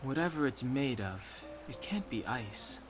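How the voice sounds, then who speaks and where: An actor playing a calm, reserved young companion in a video game, speaking tersely and dryly. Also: male voice, mid-range voice, measured pace, natural voice. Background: loud game music.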